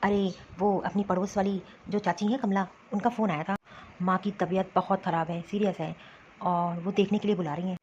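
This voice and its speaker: A woman's voice: mid-range voice, steady tone, moderate pace, darkish tone, natural voice. A woman voicing an earnest, reproachful young husband torn between his wife and his family in a cartoon drama. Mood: troubled. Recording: dull lo-fi sound, faint noise.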